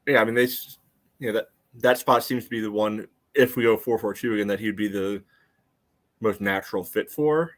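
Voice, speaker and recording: A man co-hosting a soccer podcast, thinking aloud in casual analysis with a measured, hedging manner. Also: on the low side. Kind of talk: casual sports analysis chat.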